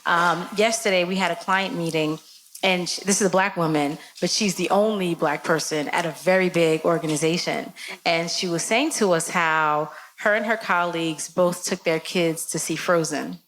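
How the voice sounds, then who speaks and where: A woman's voice: on the low side, moderate pace, rough recording. A woman speaking casually and candidly as a guest on a live conference panel, acting out bits of dialogue as she talks. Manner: candid, animated, acts out quoted dialogue. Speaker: panel guest who works in media. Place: conference stage with live audience. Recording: clear background noise of live room.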